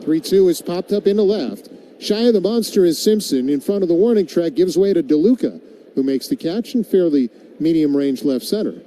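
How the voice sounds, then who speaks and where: A man's voice: on the high side, brisk. A man calling live baseball play-by-play on radio, slipping into casual banter with his partner. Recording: muffled broadcast with steady background noise.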